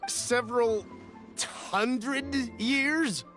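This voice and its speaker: A man's voice: very high-pitched, drawn-out words, fairly bright tone, soft background music, exaggerated voice. A man playing a fussy, suspicious merchant in a video game, over-polite and anxious in his manner. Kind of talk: animated video game voice acting.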